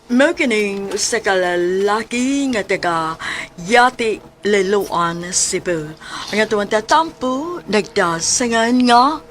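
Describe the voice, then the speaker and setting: A woman's voice: medium pitch, animated, slow pace, a bit bright, slight background noise. A woman narrator delivering a dramatic radio drama introduction.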